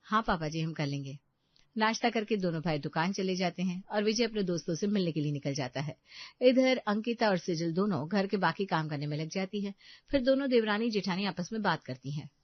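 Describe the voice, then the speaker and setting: A woman's voice: medium pitch, lively intonation, a little fast, dry recording, dull audio. A woman reading scripted narration as the storyteller of a domestic tale.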